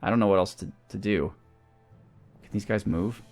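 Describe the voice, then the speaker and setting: A man's voice: on the low side, moderate pace, darkish tone. A man hosting a gaming channel, talking through a strategy game and thinking aloud as he goes. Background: video game music.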